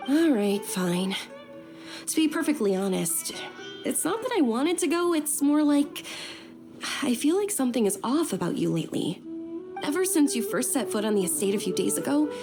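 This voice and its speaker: A woman playing a caring, insistent, well-bred young lady in a video game, earnest and expressive in her delivery. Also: fairly high pitch.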